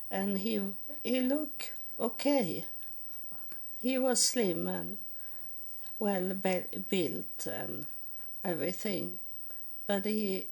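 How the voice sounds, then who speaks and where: Swedish woman, English second language, hesitant, word-searching vlog monologue, heavy vocal fry. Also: mid-range voice, a little mellow. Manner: halting, searching for words, many restarts. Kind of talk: slow personal spiritual monologue.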